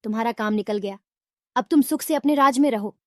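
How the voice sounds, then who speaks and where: A woman voicing a wise, scolding but helpful fox in a storybook fairy tale, with measured, admonishing delivery. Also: fairly high pitch, a bit bright, no room sound, clean studio sound, natural voice. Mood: resigned, pleading. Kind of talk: Hindi fairy tale character voice.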